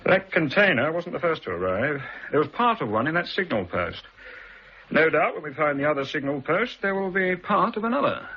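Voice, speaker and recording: An actor playing a calm, methodical British intelligence officer in a 1960s radio drama, with a crisp, measured delivery. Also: male voice, on the high side. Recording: dull old broadcast recording with faint hiss.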